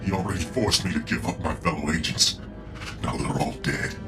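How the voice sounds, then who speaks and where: A tormented agent held captive inside his own altered body in a video game. The voice is deep and full of gravel, halting and strained with pain, over prominent music. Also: expressive. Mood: anguished. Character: tormented captive male agent turned into a power guard. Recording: music behind the voice.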